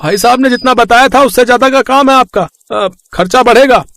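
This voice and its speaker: An actor playing a pushy, blunt roof-repair mason in a scripted moral story. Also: male voice, very high voice, a little fast, a bit bright.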